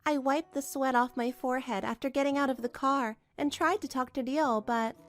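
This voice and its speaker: A woman reading scripted first-person drama narration in an expressive storytelling manner. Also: high-pitched, normal speed, faint music behind. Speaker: narrator and voice actor.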